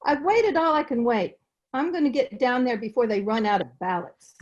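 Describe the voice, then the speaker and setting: A woman's voice: on the high side, expressive, normal speed, a little mellow, close to an everyday voice. A woman playing a determined, cheerful, folksy housekeeper in an old-time radio comedy, plain-spoken, on dull video-call audio. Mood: resolute. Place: recorded remotely over a video call.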